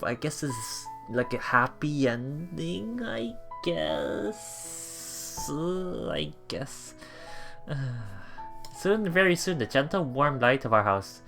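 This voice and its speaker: A let's player reading a visual novel aloud in a halting way, with emotionally invested asides. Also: medium pitch, vocal fry, fairly bright tone. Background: game music.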